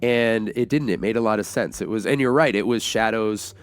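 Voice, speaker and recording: A man's voice: on the low side, fairly quick, clear, resonant tone. A man giving an enthusiastic, informal film review in casual conversation as a co-host. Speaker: co-host of a movie review show.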